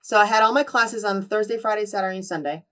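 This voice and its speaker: A woman's voice: medium pitch, fast, distant mic. A woman in her thirties from New Jersey, an interview guest telling stories casually and acting out the dialogue of the people in them. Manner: lively storyteller who acts out quoted dialogue. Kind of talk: casual storytelling in a sit-down interview.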